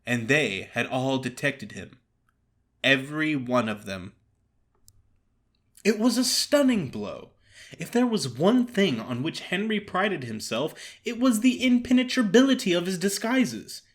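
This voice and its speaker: Expressive man narrating a classic story. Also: a little high, unhurried. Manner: expressive, formal storytelling delivery. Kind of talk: theatrical scripted narration.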